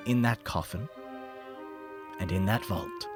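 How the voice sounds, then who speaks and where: A man narrating a gothic horror audiobook in the first person, with a theatrical, solemn, deliberate delivery. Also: lowish voice, over loud music. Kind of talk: dramatic scripted narration of a gothic horror story.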